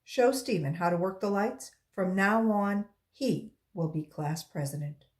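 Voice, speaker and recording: A woman's voice: medium pitch, expressive, a little slow, darkish tone, lightly acted. A woman voicing a firm, earnest female schoolteacher in a storybook, speaking emphatically and instructively. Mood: stern.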